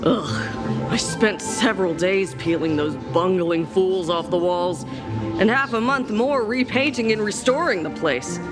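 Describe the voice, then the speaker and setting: An actress playing a sardonic, exasperated sham doctor who talks like a dramatic storyteller in a video game. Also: female voice, medium pitch, animated, measured pace, music in the background, lightly acted. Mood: lecturing, weary. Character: wry, exasperated woman posing as a hospital doctor.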